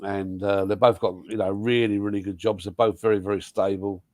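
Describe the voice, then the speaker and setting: A man's voice: on the low side, level tone, a little fast, darkish tone, dry recording. A man hosting a live panel chat, talking casually with lots of restarts and repeated yeahs. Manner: rambling, many restarts and yeahs. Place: home in Thailand.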